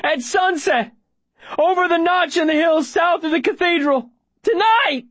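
A man voicing a frantic boy in an audiobook story, shouting and pleading desperately in a strained, cracking voice. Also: very high-pitched, a little slow, dry recording, pitched above the performer's own voice. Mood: screaming panic. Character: frantic boy screaming helplessly behind soundproof glass.

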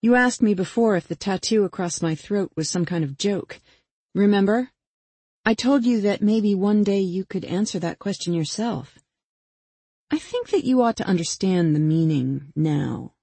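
A woman's voice: medium pitch, animated, moderate pace, dark tone, husky, no echo. A woman voicing a vengeful young female killer in an audiobook, taunting and mock-patient as she puts pointed questions. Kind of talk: dramatic audiobook character dialogue.

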